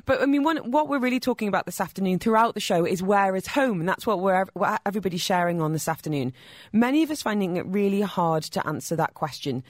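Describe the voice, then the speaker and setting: A woman's voice: mid-range voice, expressive, fast talker, no echo, studio quality. A woman hosting a radio talk show, asking questions in a friendly, conversational way. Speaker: radio host.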